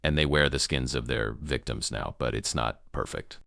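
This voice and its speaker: A man playing a grave emergency news broadcaster in an amateur apocalypse broadcast, gravelly, solemn and halting in his delivery. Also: extremely low pitch, fairly bright tone, no room sound, clean studio sound, full character voice. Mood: somber. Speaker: news anchor.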